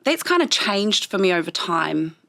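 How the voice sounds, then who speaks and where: A woman with a New Zealand accent, whose first language was Japanese, speaking as a guest on an interview show. She talks in a thoughtful, reflective way and pauses often with ums. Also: medium pitch, very animated, vocal fry, fairly bright tone, no room sound.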